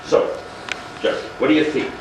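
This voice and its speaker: A man's voice: moderate pace, natural voice. An actor playing a sarcastic, menacing married middle-class man in a stage play, needling in a theatrical manner. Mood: intense, accusing. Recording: echoey, distant, noisy live stage recording.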